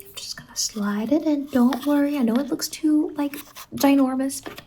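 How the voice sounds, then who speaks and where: A woman playing a quirky, doting fantasy salon worker in an ASMR roleplay, speaking softly and slipping in and out of whispers. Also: high voice, a little hiss or hum, rough recording. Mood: gentle, encouraging. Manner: soft-spoken, drifting in and out of whispers. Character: Mazey, quirky doting female fever-dream salon worker.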